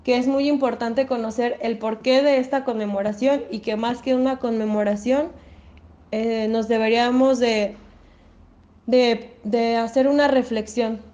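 A woman's voice: on the high side, steady tone, measured pace, darkish tone, muffled recording. A woman with a Mexican accent giving her opinion as a guest, in a chatty way with restarts. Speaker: guest speaker. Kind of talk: casual opinion piece.

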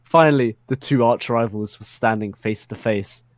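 A man's voice: fairly low pitch, normal speed, dry recording, clean studio sound. A man narrating a comedic dramatic reading of fan fiction in a mock-serious storytelling manner.

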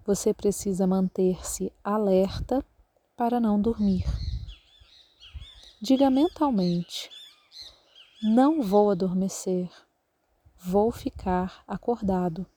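A Brazilian Portuguese-speaking woman guiding a relaxation meditation as a yoga nidra instructor, calm and measured in her instructions. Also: mid-range voice.

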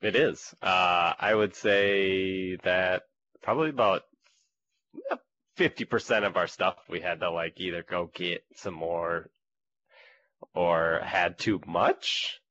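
Slow, low-voiced joking man. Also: a bit bright. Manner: joking, laid-back, drawn-out delivery. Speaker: podcast co-host. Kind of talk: casual chat. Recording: dull lo-fi sound with faint noise.

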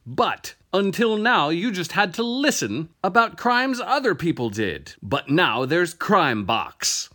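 A man playing an over-excited infomercial announcer in a parody ad, with a hyped, dramatic sales delivery. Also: high-pitched, full character voice. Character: over-excited male infomercial announcer pitching a crime subscription. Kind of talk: parody ad read.

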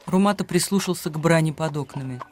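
A man's voice: fairly high pitch, fast talker, slight background noise. A man delivering scripted narration as the storyteller of a radio play. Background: music.

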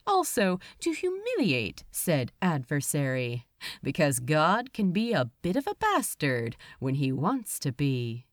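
A woman narrating a story from a script, with a dramatic, measured delivery and deliberate pauses. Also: medium pitch, dry recording, studio quality. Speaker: audiobook reader of fan fiction.

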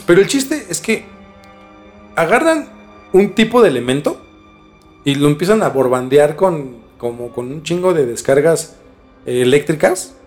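Higher-pitched Mexican man, casual storyteller. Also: sing-song, faint music behind. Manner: informal, halting, mildly profane. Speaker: podcast host.

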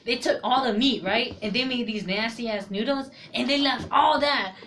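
A man's voice: very high voice, normal speed, sharp tone. Slangy young man, noisy recording. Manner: loose slangy storytelling, swears freely. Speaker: podcast co-host. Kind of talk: casual chat.

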